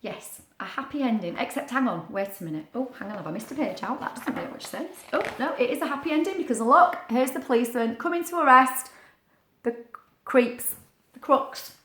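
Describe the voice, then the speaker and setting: A woman reading a picture book aloud to young children, expressive, with chatty asides and questions. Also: on the high side, brisk. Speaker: children's story reader. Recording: roomy, echoing sound.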